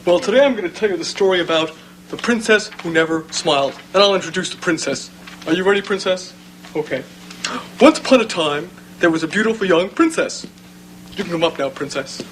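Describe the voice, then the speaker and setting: Friendly walrus puppet storyteller, humming background. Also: male voice, high voice, lively intonation, brisk, a bit bright, a little hiss or hum, poor audio. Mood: friendly, storytelling.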